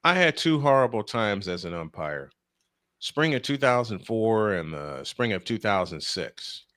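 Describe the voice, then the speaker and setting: A man calling baseball play-by-play and telling anecdotes between pitches. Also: sing-song, unhurried, a bit bright, no echo, studio quality. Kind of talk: live sports commentary with anecdotes.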